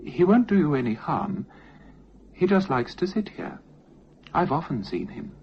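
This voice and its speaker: A man voicing a grave, matter-of-fact girl of about seven in a radio-style ghost story, speaking simply and with quiet certainty. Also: on the high side, very animated, unhurried, lo-fi sound, a little hiss or hum, pitched above the performer's own voice. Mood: calm, certain.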